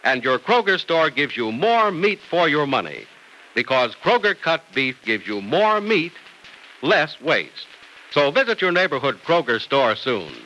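Bright, emphatic American male radio announcer. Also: a little high, slight background noise, rough recording. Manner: polished, emphatic, salesmanlike. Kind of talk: old-time radio announcing and sponsor pitch. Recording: old radio transcription recording.

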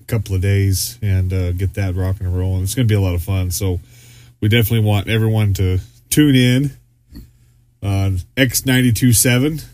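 A man's voice: on the low side, steady tone, fairly quick, darkish tone, no echo. A man co-hosting a podcast in casual chat, rambling with lots of fillers and restarts. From Arizona, US. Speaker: podcast co-host.

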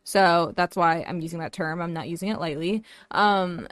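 A woman's voice: lowish voice, normal speed. A woman in her twenties telling anecdotes in casual chat with a friend as a podcast co-host, informal and blunt. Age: 20s.

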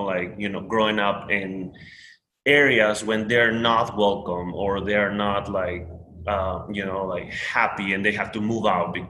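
A man's voice: on the low side, pushed and bright, roomy sound, slight background noise, rough recording. A man from Peru with a Peruvian Spanish accent, Spanish his first language, talking in a rambling casual conversation full of fillers and restarts.

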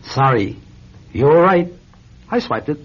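A man acting a shrewd, laconic police officer in a radio drama, husky and dryly wry. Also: fairly high pitch, animated, slow pace, full character voice. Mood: wry triumph. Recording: dull, lo-fi radio recording.

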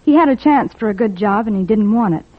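A woman's voice: medium pitch, animated, fast talker, lightly acted. A woman playing an earnest, concerned young caseworker in an old-time radio drama, speaking in a polite, proper, questioning way. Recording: tinny old radio recording.

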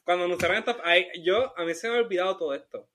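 A man's voice: high voice, rapid-fire, pushed and bright. A man with a Puerto Rican accent co-hosting a podcast, talking about a film in a playful, casual way and mixing in English. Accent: Puerto Rican Spanish. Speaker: podcast co-host. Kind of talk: casual chat, film review.

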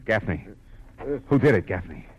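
Male private detective, low-pitched, cool and commanding, terse noir delivery, dull vintage radio sound. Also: big pitch swings, normal speed, a little hiss or hum. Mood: urgent, commanding. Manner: clipped, tough, world-weary. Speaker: lead actor and narrator. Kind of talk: 1940s radio noir acting and narration. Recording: muffled old radio recording with music.

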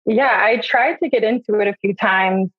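A woman's voice: mid-range voice, moderate pace, husky, dull audio. A woman in her thirties from the Midwest, a podcast guest telling her story in a chatty way, with lots of "like" and "um" and frequent laughs. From US Midwest, Milwaukee. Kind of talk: casual personal storytelling.

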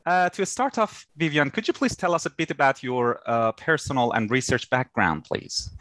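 A man's voice: mid-range voice, lively intonation, normal speed, fairly bright tone, no echo. A man hosting an interview podcast, asking polite questions with hesitant fillers. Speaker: podcast host.

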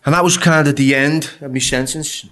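A man's voice: fairly high pitch, steady tone, moderate pace, sharp tone. English man telling raw prison stories. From England. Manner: swears freely, stammers and repeats words. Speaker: guest. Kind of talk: casual interview storytelling.

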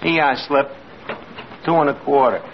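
An actor playing a gullible, good-natured tavern worker with a New York accent and ungrammatical street talk in an old radio comedy. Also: male voice, medium pitch, steady tone, normal speed, lightly acted. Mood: eager, trusting. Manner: ungrammatical working-class wisecracking. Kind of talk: 1940s-50s radio comedy acting. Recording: muffled old radio, heavy noise, audience.

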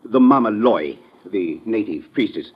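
An actor playing a fussy, pompous little folklore professor in an old radio drama, with formal, precise diction. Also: male voice, fairly low pitch, animated, unhurried, a crowd in the background. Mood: eager lecturing. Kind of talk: 1950s radio drama acting. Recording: dull old radio recording.

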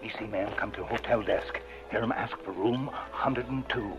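An actor playing a stoic, loyal sidekick in an old radio western, speaking in terse, broken, stilted phrases. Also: male voice, fairly low pitch, normal speed, close to an everyday voice. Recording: muffled, very noisy vintage radio recording.

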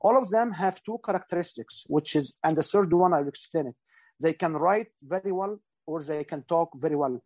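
A man's voice: a little high, fast, a little mellow, dry recording. A middle-aged man, explaining public speaking in an interview, earnest and instructive, with frequent fillers and restarts. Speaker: guest speaker. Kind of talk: interview answers.

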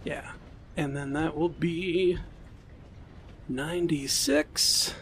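Male tabletop player, lightly raised pitch, measured and halting casual game talk, slight noise behind. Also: fairly bright tone.

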